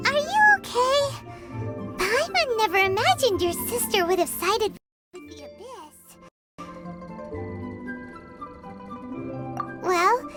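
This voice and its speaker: Tiny squeaky game fairy, super high kiddy voice, chirpy, bouncy pitch, loud music. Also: female voice, exaggerated voice. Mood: encouraging. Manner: squeaky, refers to self in third person. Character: tiny childlike female fairy companion, eager and chirpy. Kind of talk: animated video game character acting.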